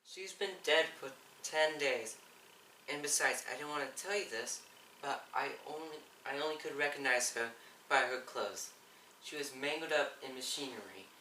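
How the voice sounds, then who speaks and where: A woman's voice: low voice, normal speed, bright, forceful tone. A young woman in an amateur stage play acting a cautious, skeptical parent, shaken and reluctant. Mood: reluctant, dismayed. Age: young adult. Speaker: student actor. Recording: noisy echoey room from far off.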